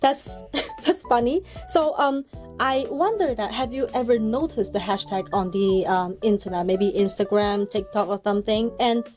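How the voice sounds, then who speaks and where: A woman's voice: mid-range voice, normal speed, darkish tone, soft background music. A woman from China hosting a casual podcast chat, talking in a chatty way full of fillers and restarts. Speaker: podcast host.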